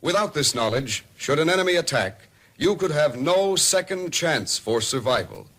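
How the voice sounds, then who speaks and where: A man announcing a mid-century radio public service programme in a formal, grave and deliberate manner. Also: fairly high pitch, very animated, a bit bright, full character voice.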